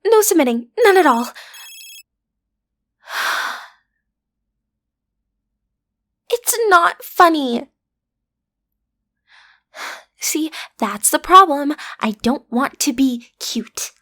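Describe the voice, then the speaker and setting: A woman's voice: high voice, lively intonation, unhurried, bright, forceful tone, heavily acted. A woman playing a cute, easily flustered teenage villain's daughter in an audio roleplay, stammering earnestly as she tries to seem intimidating. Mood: indignant pout.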